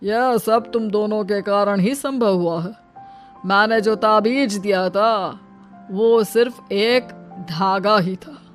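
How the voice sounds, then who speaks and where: A woman voicing a wise male holy man who gives gentle, measured advice in an animated moral story. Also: mid-range voice, soft background music, voice pushed down, natural voice. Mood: calm, explaining. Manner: slow, measured, counselling.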